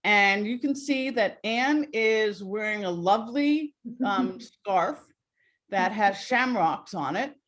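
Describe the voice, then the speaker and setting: A woman hosting a live webinar over a video call, talking in a warm, rambling way with many fillers. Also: medium pitch, expressive, slow. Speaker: host.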